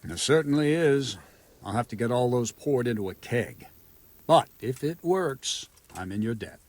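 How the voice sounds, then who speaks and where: Male actor as medieval man-at-arms, very husky, gruff, wry, good-natured, game dialogue. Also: medium pitch, expressive, normal speed, darkish tone, no room sound, close to an everyday voice. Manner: wry, plain-spoken. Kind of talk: video game voice acting.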